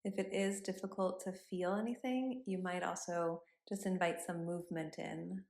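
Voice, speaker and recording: Low-pitched woman guiding meditation, roomy echo. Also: steady tone, moderate pace, vocal fry, darkish tone. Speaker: yoga therapy instructor. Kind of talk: guided body scan meditation. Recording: roomy, echoing sound.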